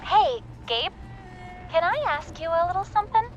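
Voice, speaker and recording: An actress playing a cheeky, teasing companion in a video game, with a sly, playful, mocking manner. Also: female voice, extremely high pitch, expressive, normal speed, dull audio, soft background music. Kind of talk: video game character banter.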